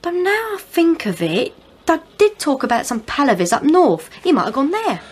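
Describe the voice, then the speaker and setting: A woman acting in a radio drama as a brash, sharp-tongued, evasive young shopgirl. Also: high voice, sing-song, moderate pace. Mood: breezy, evasive. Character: brash young shopgirl covering for her boyfriend.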